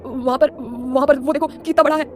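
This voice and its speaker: A woman's voice: a little high, very fast, a little mellow, soft background music, close to an everyday voice. A woman voicing a haughty, irritable young businessman in an audio story, with an emphatic, defensive, scolding manner. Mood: alarmed. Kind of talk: dramatised audio story dialogue.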